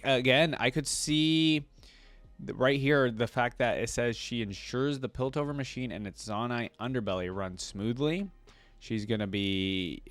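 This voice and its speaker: A man doing casual reaction commentary, reading aloud and breaking off to think out loud and check in with listeners. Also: lowish voice, dry recording. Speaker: video creator reacting to game character lore.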